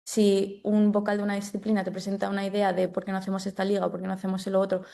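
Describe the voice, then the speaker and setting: A woman's voice: mid-range voice, completely flat delivery, rapid-fire, vocal fry, warm tone. A woman answering as an interview guest in a conversational, explanatory and reflective way, often checking for agreement. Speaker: sports development officer, interview guest.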